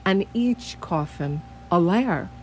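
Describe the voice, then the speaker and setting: Slow, grave old professor. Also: female voice, on the low side, animated, a little hiss or hum. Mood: cautionary, solemn. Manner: formal, deliberate, old-fashioned phrasing. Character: wise, grave old foreign male vampire-hunting professor. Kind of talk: radio drama acting.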